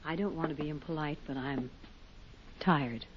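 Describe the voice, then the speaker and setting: A woman's voice: low voice, normal speed, lightly acted. Weary, evasive female principal, played by an actress, formal and curt, on a muffled, noisy old radio recording. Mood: tired, evasive. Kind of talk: 1950s radio drama acting.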